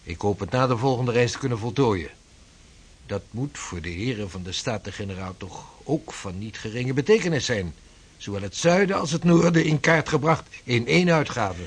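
A husky old-time Dutch navigator. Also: male voice, mid-range voice, expressive. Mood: earnest. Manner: measured, formal, old-fashioned speech. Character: earnest 16th-century Dutch navigator and mapmaker, driven. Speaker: seafaring cartographer. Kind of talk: radio drama acting.

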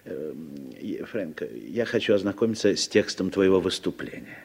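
An actor playing a blustering, uneasy American businessman in a Soviet radio drama, husky and theatrical, hesitant and then emphatic. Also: sits low, animated, normal speed, noisy background. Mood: nervous, insistent.

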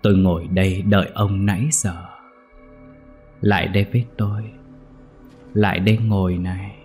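A man's voice: low voice, little pitch movement, moderate pace, darkish tone, faint music behind. A man voicing a cold, luring female ghost of an older wife in a horror story.

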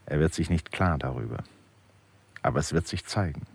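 Brooding radio drama narrator: low, husky, measured and literary, recorded close and dry. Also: male voice, dry recording, full character voice. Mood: grave, brooding. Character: grave, brooding male narrator of an inner drama.

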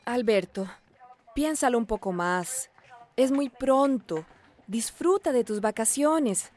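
Radio drama role: patient migrant mother, slightly high voice, gentle and persuasive. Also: lively intonation, slow pace. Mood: gently persuading. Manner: gentle, reasoning. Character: caring, hard-working migrant mother urging patience.

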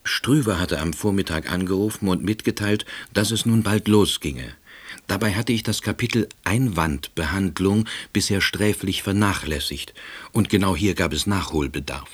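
An actor playing a hapless, pedantic husband and novice salesman who narrates his own story in a radio play, deadpan and precise. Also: low voice, moderate pace, breathy edge. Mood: wry, harried.

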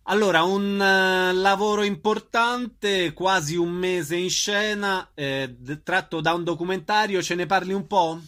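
A man's voice: high-pitched, fairly even intonation, a little slow, hardly any pauses, a bit bright. A man hosting a radio interview, introducing the guest and prompting him with conversational questions. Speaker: radio host.

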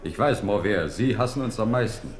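A man's voice: lowish voice, expressive, moderate pace, close to an everyday voice. Man as a blunt old-campaign soldier, crowd behind. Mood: bluntly fatalistic. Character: battle-worn Protestant soldier, blunt and fatalistic. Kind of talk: 1970s radio play acting. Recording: echoey room with crowd noise.

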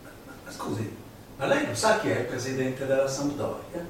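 A man's voice: mid-range voice, animated, normal speed. A man doing colloquial comic stage banter as a performer who throws in asides. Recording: echoey, distant, faintly noisy live recording.

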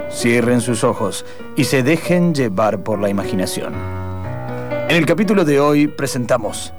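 A man with an Argentine accent narrating improvised radio theatre in a theatrical, deliberate manner. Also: medium pitch. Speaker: narrator, presenter.

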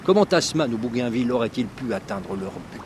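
Frenchman against very loud sea noise. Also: mid-range voice, very animated, fast talker. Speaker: cartographer interviewee. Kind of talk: spoken reflection into a field microphone. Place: outdoors on the coast. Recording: very noisy seaside field recording. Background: loud waves and sea ambience.

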